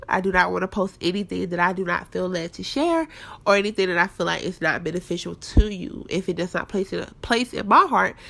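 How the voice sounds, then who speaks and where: A young woman with a stuffed-up nose, chatting candidly to her listeners as a podcast host. She talks at a middle pitch, casual and personal, recorded on a home mic in her apartment. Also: bright, forceful tone. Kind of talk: casual faith-based podcast talk.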